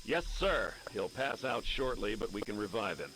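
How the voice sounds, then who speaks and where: A man's voice: on the low side, lively intonation, normal speed, fairly bright tone, rough recording. A man playing a dutiful, officious army lieutenant in a video game, formal and by-the-book. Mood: obedient. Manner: by-the-book, formal military address. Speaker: military lieutenant. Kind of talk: video game cutscene acting.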